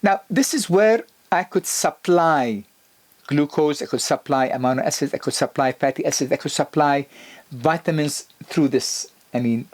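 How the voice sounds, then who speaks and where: Husky man lecturing emphatically. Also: normal speed. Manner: emphatic, lively rises in pitch on key points, many restarts and fillers. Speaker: health lecturer. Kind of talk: explanatory lecture video.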